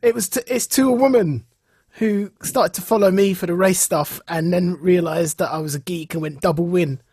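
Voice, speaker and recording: A man co-hosting a podcast in casual banter, amused, teasing and quick to mock himself. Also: sits high, darkish tone, husky, no room sound, studio quality. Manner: amused, teasing, self-mocking. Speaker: podcast co-host.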